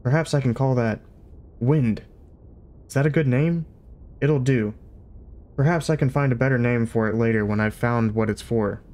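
A man's voice: medium pitch, normal speed, long pauses, darkish tone, close to an everyday voice. A man voicing a bewildered newborn whale in a storybook reading, chattering naive questions to itself. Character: bewildered newborn whale falling through sky.

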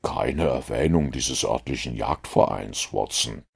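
A man voicing a self-assured, analytical detective in an audiobook, with a gravelly, husky voice and a measured, lecturing manner. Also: very deep voice, heavily acted. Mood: smug, assured. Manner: measured, didactic, superior. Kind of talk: audiobook character reading.

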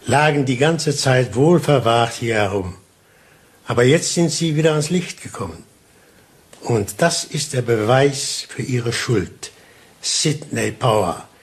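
An actor playing a shrewd police inspector in a radio play, speaking deliberately and theatrically with taunting insinuation. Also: male voice, medium pitch, animated. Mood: menacing, accusing. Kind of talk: German radio play acting.

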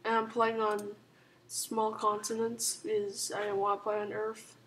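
A hoarse woman who is clearly sick talks quietly through a strategy game, rambling and hedging as she goes. Her voice is flat and even, with little rise and fall, over a faint hiss. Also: mid-range voice, unhurried. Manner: rambling, full of "like" and "um", soft-spoken. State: hoarse and sick, sore throat. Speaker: gaming channel host. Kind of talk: casual strategy game commentary.

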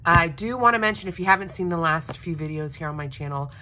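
A woman with a slightly raspy voice from a recent illness chats casually and eagerly at her desk, now and then clearing her throat. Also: on the low side, animated, fast talker, noisy background. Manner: enthusiastic, chatty, frequent throat clearing. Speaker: planner vlogger. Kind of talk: casual unboxing chat. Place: home desk.

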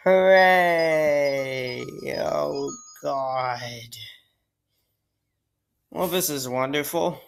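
Slow, sarcastic young-sounding woman gamer. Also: deep voice, creaky, clear, resonant tone. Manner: exasperated, sarcastic, sighing. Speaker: let's play gamer. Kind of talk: casual game commentary. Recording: faint game music behind.

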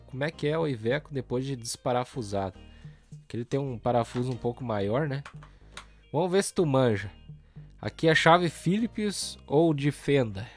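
A man with a Brazilian accent, hoarse and nasal from a cold, chatting casually in slang while he customizes a toy truck on camera. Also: mid-range voice. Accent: Brazilian Portuguese. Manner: relaxed slangy chatter, talks while working. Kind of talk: casual hands-on tutorial narration.

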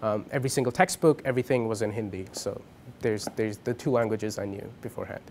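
A man's voice: lowish voice, fast, a little mellow, a little hiss or hum. A young man from India whose first language is Punjabi, answering interview questions in a casual, hesitant way with many ums and restarts. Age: young adult. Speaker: interviewee, college student. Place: dim indoor room with a lamp.

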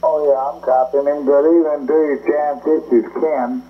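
A man's voice: a little high, little pitch movement, moderate pace. A man talking casually as an amateur radio operator, his voice carried over a shortwave radio link. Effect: shortwave radio transmission. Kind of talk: casual radio contact chat. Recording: muffled ham radio audio, faint static. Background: radio static.

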